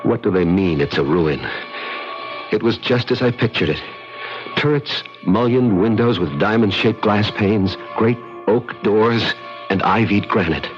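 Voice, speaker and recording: Earnest American man, low-pitched, dramatic first-person narration, dull old radio sound. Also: animated. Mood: awed, yearning. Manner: measured, literary, dramatic. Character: adult American man narrating his haunted pilgrimage, earnest, brooding. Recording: dull vintage radio recording, music behind.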